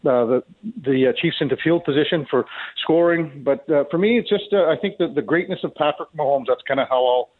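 A man's voice: on the high side, fairly quick. A man giving casual sports analysis over a phone line, conversational, often repeating and rephrasing his points. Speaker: sports commentator. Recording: tinny, phone-like narrow band.

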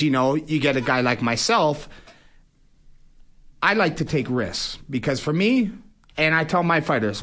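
Casting: male athlete as interview guest, mid-pitched, conversational, tags lines with "right?". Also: fairly bright tone. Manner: conversational, frequent "you know" and "right?" tags. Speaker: guest, professional boxer. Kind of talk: casual interview storytelling.

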